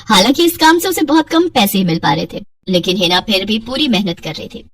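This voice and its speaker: A woman's voice: mid-range voice, expressive, a little fast, breathy edge, dry recording, clean studio sound, far from the mic. A woman narrating a scripted moral story as a storyteller.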